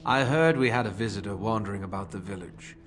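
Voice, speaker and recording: An actor playing a zealous, guarded cult priest in a video game, speaking solemnly like a preacher. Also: male voice, on the low side, fast talker, fairly bright tone, faint music behind, lightly acted. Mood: coolly guarded.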